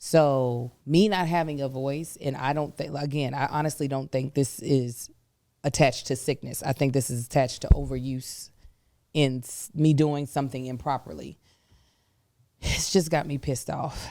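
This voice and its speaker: A woman podcast co-host talking casually with a hoarse voice, candid and exasperated. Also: rumbling low, fairly even intonation, normal speed, dark tone, no echo. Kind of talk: casual chat.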